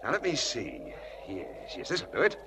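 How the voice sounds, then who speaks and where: Flippant young suitor, wildly swooping voice. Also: male voice, on the low side, wildly swooping pitch, normal speed, creaky, full character voice. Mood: amused teasing. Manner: bantering, mock-earnest. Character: charming, teasing young suitor, flippant and playful. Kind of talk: 1940s radio acting. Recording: noisy vintage radio broadcast recording.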